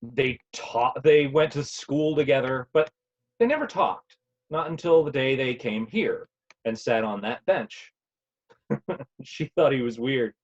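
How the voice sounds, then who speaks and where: An actor playing a fond, watchful, nostalgic parent with a halting manner, in a naturalistic play reading over a video call. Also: male voice, medium pitch, lightly acted. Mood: fond, wistful. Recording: dull, lo-fi video-call sound.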